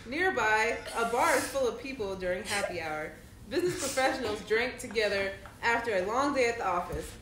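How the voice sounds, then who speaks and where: A woman's voice: fairly high pitch, lively intonation, a little fast, fairly bright tone. A woman narrating an informal table read, reading stage directions aloud. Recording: echoey room, faint noise.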